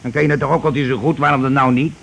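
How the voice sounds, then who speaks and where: An actor playing a coarse, cocksure scheming husband in a radio play, talking insistently and impatiently in colloquial speech. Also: male voice, medium pitch, fairly even intonation, very fast, creaky, fairly bright tone. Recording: noisy old radio drama recording.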